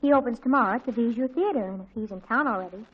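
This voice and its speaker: An actress playing an earnest, excitable teenage girl in a 1940s radio comedy, on a dull lo-fi old recording. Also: fairly high pitch, rapid-fire, breathy edge.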